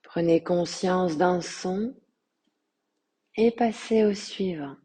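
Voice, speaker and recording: A woman guiding a relaxation meditation as a yoga teacher, speaking softly and breathily in a calm, soothing, measured way with long pauses. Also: on the low side, husky. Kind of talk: guided meditation.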